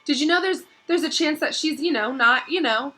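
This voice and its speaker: A woman voicing an anxious, earnest boy wizard in a storybook, speaking in a halting, urgent way. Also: sits high, pushed and bright, pitched above the performer's own voice. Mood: worried, halting. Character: anxious, earnest boy wizard, worried about his sister.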